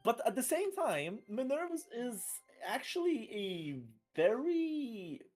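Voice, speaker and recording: A man doing play-by-play commentary on a fighting game, excitable and bursting loud at big plays. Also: extremely high pitch, slow pace, faint music behind. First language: not French. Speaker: esports commentator.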